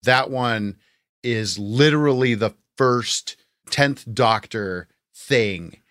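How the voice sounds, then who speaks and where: A man's voice: medium pitch, slow pace, fairly bright tone, no room sound, studio quality. A man co-hosting a podcast, chatting informally and enthusiastically like a fan. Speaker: podcast co-host. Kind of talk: casual fan discussion.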